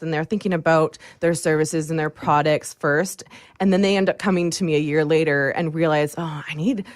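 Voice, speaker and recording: Chatty woman, low dry-sounding voice. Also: no room sound. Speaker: guest, branding agency owner. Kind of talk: conversational interview talk.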